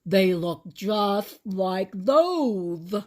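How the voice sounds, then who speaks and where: A woman voicing an upset, fussy old grandfather without his teeth in a children's storybook, with drawn-out, funny speech. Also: medium pitch, expressive, darkish tone. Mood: suspicious. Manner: drawn-out, mumbling, toothless-sounding speech. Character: distraught old grandfather who lost his false teeth.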